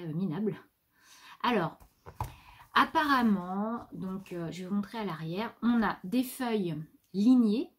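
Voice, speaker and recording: A woman vlogger chatting casually as she shows off her new craft supplies at home, rambling a little and breaking off to talk to her pet. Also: a little high. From France. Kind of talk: casual show-and-tell haul. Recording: faint background noise.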